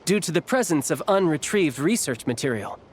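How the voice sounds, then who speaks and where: Cocky young fighter dude for a game, high voice, super animated and boyish. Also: male voice, brisk, slight background noise. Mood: businesslike. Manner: expressive, boyish, dramatic. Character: excitable, cheeky young male warrior, one of the Harbingers. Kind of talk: English video game voice acting. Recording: game music behind the voice.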